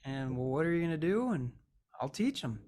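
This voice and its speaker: A man's voice: a little high, big pitch swings, a little fast, a little mellow. A man hosting an online interview, upbeat and encouraging, cheering his guest on as he asks questions. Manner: enthusiastic, encouraging. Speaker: interview host.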